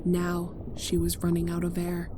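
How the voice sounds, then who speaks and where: A woman reading a tragic fan fiction story aloud in a somber, slow narration that drops to near-whispers. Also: fairly low pitch, completely flat delivery, background noise. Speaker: fanfic reader. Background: soft guitar music.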